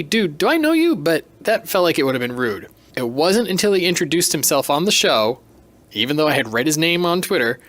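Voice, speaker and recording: A man's voice: fairly high pitch, fairly bright tone, no echo. A man hosting a podcast solo, talking in a casual, chatty, self-deprecating and emphatic way. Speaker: podcast host.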